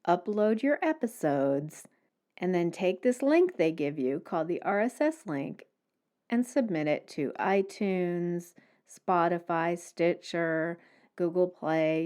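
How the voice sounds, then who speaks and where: A woman podcast host talks on her own at an unhurried, slow pace. Her pitch moves up and down in a lively way, sitting a little lower than average, as she explains things plainly, one step at a time. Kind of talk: solo podcast monologue, explanatory.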